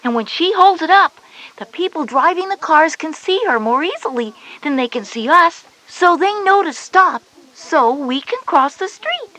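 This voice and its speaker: High-pitched, instructive big sister. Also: female voice, expressive, moderate pace, bright, forceful tone, rough recording. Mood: patient, instructive. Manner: explanatory, instructive. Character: bright, knowing big sister schoolgirl, patient and instructive. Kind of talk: scripted children's educational cassette dialogue.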